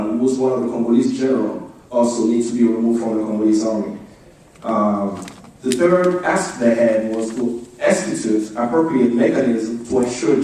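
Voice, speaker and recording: A man giving a formal briefing as an advocacy speaker, going through his points one by one in a measured way. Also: medium pitch, warm tone. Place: indoor meeting room. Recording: echoey room, distant microphone.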